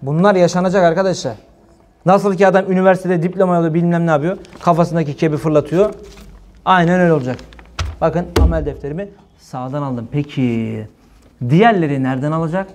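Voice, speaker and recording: High-pitched middle-aged male preacher. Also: a little mellow. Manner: emphatic, exhorting, colloquial. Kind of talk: informal religious sermon with rhetorical questions. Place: small indoor room at a preaching desk.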